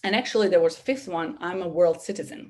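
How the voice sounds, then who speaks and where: Lowish-voiced woman on a video call webinar, animated, lots of restarts and "you know". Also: rapid-fire. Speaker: webinar speaker. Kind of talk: webinar talk.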